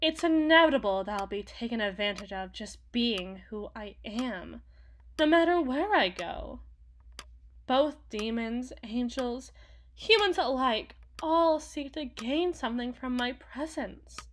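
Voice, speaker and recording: A woman's voice: a little high, expressive, unhurried, a little hiss or hum. A woman voicing a powerful, possessive demon in a theatrical ASMR roleplay, speaking formally and imperiously like a coldly seductive deal-maker. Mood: haughty, aggrieved. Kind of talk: ASMR roleplay, dramatic monologue.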